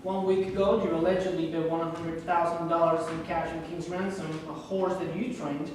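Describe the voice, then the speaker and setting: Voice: mid-range voice, level tone, normal speed, natural voice. An actor playing a stern, formal senior state investigator in a staged reading of a play. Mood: stern, official. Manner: formal, procedural. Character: stern, official senior gaming commission investigator. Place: echoey room at a live staged reading. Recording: echoey room from far off, faint noise.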